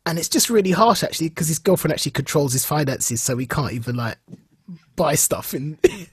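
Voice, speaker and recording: Very raspy man chatting. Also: on the high side, lively intonation, no echo. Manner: informal, halting, repeats words for emphasis. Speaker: podcast co-host. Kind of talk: casual chat.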